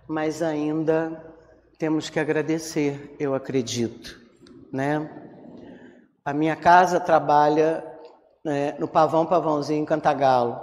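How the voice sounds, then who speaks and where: Woman, Brazilian Rio accent, religious lecturer, informal conversational delivery, frequent tag questions, long echoing hall reverb. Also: sits low. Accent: Brazilian, from Rio de Janeiro. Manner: conversational, acts out inner complaints, frequent check-in tag questions. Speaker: Spiritist lecturer. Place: reverberant hall, public talk.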